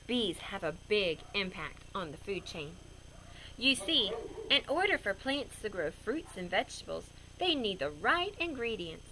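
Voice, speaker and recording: A woman's voice: on the high side, bright, forceful tone, a little hiss or hum, low-quality recording. A woman reading a picture book aloud to children, expressively, with simple explanatory asides. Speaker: read-aloud storyteller for children.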